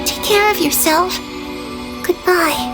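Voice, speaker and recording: Very high, gentle ghostly little girl. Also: takes it slowly. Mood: tearful, tender. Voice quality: childlike. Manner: gentle, earnest, tender. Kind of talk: video game character acting. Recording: prominent music under the voice. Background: music.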